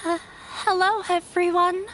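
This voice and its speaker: A woman's voice: very high voice, flat delivery, fairly bright tone, a little hiss or hum, low-quality recording. A woman playing a shy, nervous young dancer in a video game, speaking hesitantly. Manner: hesitant, halting. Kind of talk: video game character dialogue.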